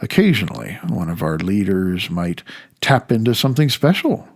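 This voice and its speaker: A man's voice: low voice, big pitch swings, normal speed, gravelly. A man in his fifties reading his own reflective audiobook essay, with wry, emphatic phrasing.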